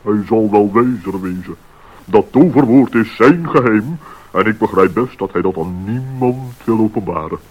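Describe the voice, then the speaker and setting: An actor playing a solemn, self-important old owl in a radio play, with a husky voice. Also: male voice, on the low side, expressive, moderate pace. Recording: dull lo-fi radio play recording.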